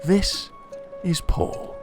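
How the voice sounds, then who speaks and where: A man's voice: drawn-out words, over music. A man playing a solemn documentary narrator and announcer in a scripted comedy mockumentary.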